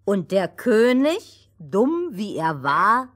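A woman's voice: medium pitch, big pitch swings, slow. A woman playing an assured storyteller who narrates a fairy tale for children and corrects her partner. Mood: narrating.

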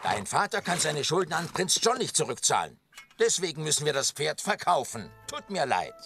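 An actor playing a gruff, bumbling, self-important sheriff in a dubbed cartoon. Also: male voice, fairly high pitch, sing-song, normal speed, exaggerated voice. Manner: gruff, blustering. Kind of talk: cartoon dubbing. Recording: loud music score underneath.

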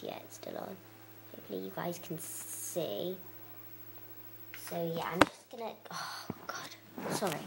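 A young girl, chatting casually with lots of fillers as she plays a video game, her voice croaky. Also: on the low side, reverberant, noisy background. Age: child. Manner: chatty, rambling, many fillers. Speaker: let's play host.

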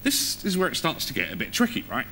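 A man's voice: medium pitch, huge pitch swings, fast talker, creaky, sharp tone, soft background music. A man giving a technical conference talk on stage in an informal, conversational way, often putting questions to the audience. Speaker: conference speaker. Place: on stage in a theatre or auditorium.

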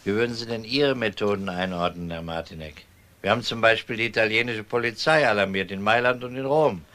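Man acting dry, wry police detective, fairly low. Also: fairly quick, a bit bright, natural voice. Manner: dry, politely ironic. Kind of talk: German crime radio drama acting.

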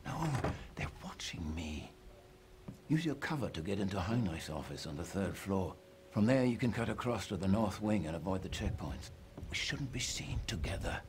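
A cautious wartime informant in a video game. His low voice is hushed and terse, with clear noise and clicks around it. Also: expressive, normal speed. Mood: wary, urgent. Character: furtive male undercover informant, cautious and urgent. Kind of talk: cinematic war game acting.

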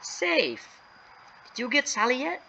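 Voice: medium pitch, normal speed, vocal fry, bright, forceful tone, slight background noise, voice pushed up, poor audio. A storyteller voicing an eager, urgent young boy in a children's story. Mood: playful.

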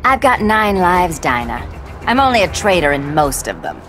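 Sly thief, lowish pitch, lively swoops, taunting, game cutscene, loud music. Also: female voice, normal speed. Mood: teasing. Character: sly, sardonic female cat-burglar villain. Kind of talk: video game drama acting.

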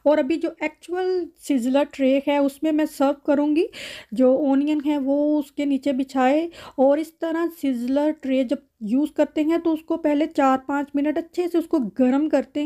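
A woman's voice: high voice, mellow. A woman with a Pakistani accent narrating home cooking in a conversational recipe voice-over, as a vlogger. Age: adult mother.

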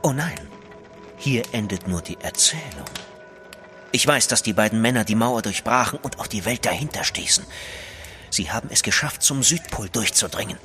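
An actor in a German audio drama playing a driven gentleman writer, dramatic and insistent, sometimes hushed. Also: lowish voice, lively intonation, moderate pace, soft background music. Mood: ominous. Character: intense, driven gentleman writer pleading for help.

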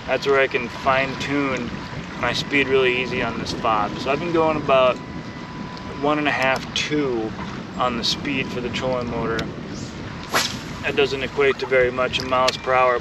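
Young man explaining fishing from a kayak. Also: mid-range voice. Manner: relaxed explaining, bursts of excited shouting when fish bite. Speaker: fishing host. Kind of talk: casual on-the-water instruction. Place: kayak on a windy lake outdoors. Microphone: action camera on the kayak. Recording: distant mic with wind and water noise.

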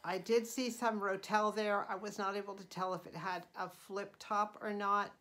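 A middle-aged woman talks over her own video in a relaxed, chatty way. She is unhurried and fills pauses with ums and uhs. Her voice sits at an ordinary pitch for a woman. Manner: chatty, unhurried, frequent uh and um, light laughs. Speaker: channel host. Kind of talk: casual vlog voice-over.

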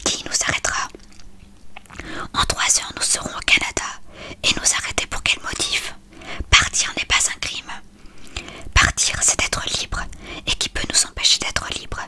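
A reader voicing a desperate, pleading, lovestruck teenage girl from a novel in a breathy whisper. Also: normal speed, pauses often, bright, forceful tone. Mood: determined.

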